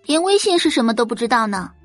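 A woman's voice: a little high, sing-song, quick pace, no room sound, faint music behind. A woman voicing a snide, gossiping teenage schoolgirl in an audio drama, with a catty, mocking manner.

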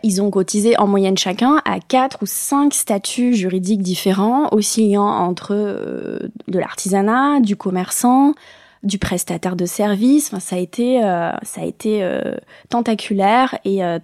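A woman's voice: medium pitch, a little slow, darkish tone, no room sound. Reflective middle-aged woman, dry close mic. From France, born in Bayonne. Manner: reflective, many hesitations. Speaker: guest. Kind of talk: casual interview talk.

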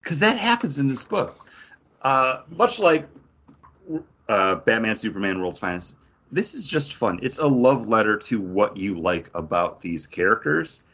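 A man with a low-pitched, husky voice talks as a podcast co-host. His delivery is casual, enthusiastic review chat that dips into acted-out quotes. The audio is a little dull and lo-fi. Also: sing-song, a little slow, a bit bright.

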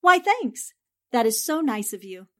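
Woman playing a plucky forties girl reporter, fairly high voice, shrewd, poised, theatrical radio drama delivery. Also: sing-song, unhurried. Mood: bright confidence. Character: shrewd, fearless female newspaper reporter, coolly confident. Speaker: voice actor. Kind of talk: 1940s-style radio drama acting.

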